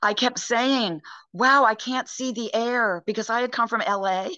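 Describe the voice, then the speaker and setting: A middle-aged actress chatting in a rambling, casual way over a video call from home, with many ums and restarts. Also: female voice, on the high side, unhurried, sharp tone. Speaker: actress guest. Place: home room, indoors. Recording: dull lo-fi video call audio.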